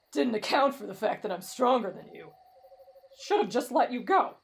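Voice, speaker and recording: A woman's voice: fairly high pitch, animated, moderate pace, slight background noise, pitched below the performer's own voice. A woman voicing a brash, cocky young man with a morbid streak and a blunt, boastful manner in an anime fan audio roleplay. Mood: blunt, mocking.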